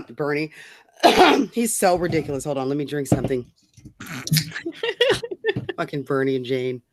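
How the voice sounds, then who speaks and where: A woman's voice: sits low, unhurried, long pauses, no room sound. A woman co-hosting a rant show in casual banter, blunt and mocking, swearing freely. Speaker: co-host of a political rant show.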